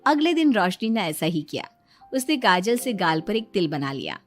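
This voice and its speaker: A woman's voice: mid-range voice, sing-song, normal speed. A woman reading a scripted moral story as its narrator. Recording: music bed under the voice. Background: background music.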